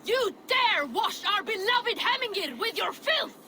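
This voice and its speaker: An actor playing an enraged Viking villager who shouts accusations in a game cutscene. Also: male voice, very high-pitched, lively intonation, unhurried, slight background noise, full character voice. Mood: furious.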